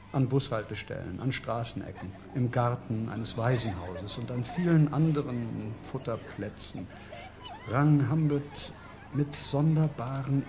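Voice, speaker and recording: An actor playing a detached biographer, narrating another man's life in measured literary radio drama prose. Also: mid-range voice, darkish tone, a little hiss or hum, close to an everyday voice. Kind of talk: scripted third-person radio drama narration.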